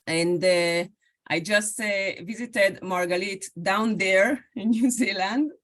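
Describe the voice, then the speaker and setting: A woman with an Israeli accent, a lecturer giving an academic talk over a video call, speaking haltingly with many fillers and restarts. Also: medium pitch, a bit bright.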